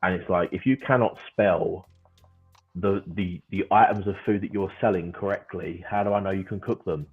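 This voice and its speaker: A man in his thirties joining a podcast livestream as co-host, chatty and given to playful rants, with quick laughs. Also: sits low, steady tone, breathy edge, faint music behind. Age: 30s. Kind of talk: casual livestream chat. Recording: tinny phone-like call audio.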